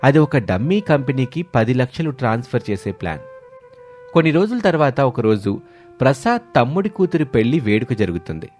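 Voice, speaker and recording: A man's voice: mid-range voice, very animated, brisk, a little mellow, soft background music. A man narrating a scripted moral story.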